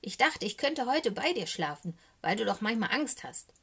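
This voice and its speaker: A woman voicing a small cheeky young male raven in a children's storybook, croaky and excitable, with a wheedling, anxious way of talking. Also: mid-range voice, normal speed, voice pushed up. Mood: wheedling, eager. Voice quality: croaky, squawky.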